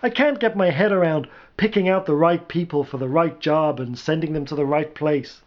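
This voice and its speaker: A man's voice: a little high, normal speed, dull audio, lightly acted. A man voicing a brash, determined rock roadie turned battlefield general, speaking emphatically in a storybook reading. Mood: resigned.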